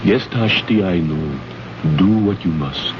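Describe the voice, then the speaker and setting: A man's voice: low voice, animated, music in the background. An actor playing a dignified alien king in an old radio drama, grave and formal, stern with a note of regret. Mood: regretful. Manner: formal, grave, measured wording. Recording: noisy, muffled old radio recording.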